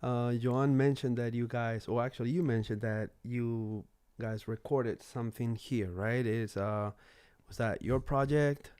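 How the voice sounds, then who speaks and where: A man hosting a casual interview, halting and searching for words with many repeats. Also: mid-range voice, steady tone, a little mellow, smooth. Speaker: interview host.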